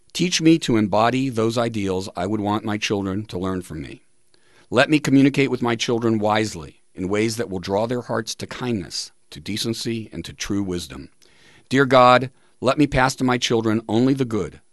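A man hosting a radio talk show, reading aloud with pauses for emphasis in a studio, close to the microphone. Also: on the low side, no room sound. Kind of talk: reading listener tributes and quotes on a talk show.

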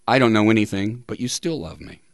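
A middle-aged man hosting talk radio in a measured, deliberate way, sometimes reading tributes aloud. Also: lowish voice, expressive, dry recording, clean studio sound. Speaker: radio show host.

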